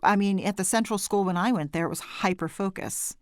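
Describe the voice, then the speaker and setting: A woman from California hosting an interview, chatty and often laughing, with some vocal fry. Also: mid-range voice, brisk, no room sound, studio quality. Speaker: podcast host. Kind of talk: conversational interview.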